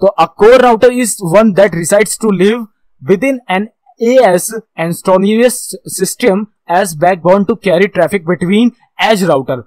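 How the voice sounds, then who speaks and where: A man's voice: sits high, no room sound. A man with an Indian accent giving an explanatory tutorial as an instructor, asking questions and answering them himself in a teacherly way. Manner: switches between Hindi and English terms. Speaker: instructor in computer networking.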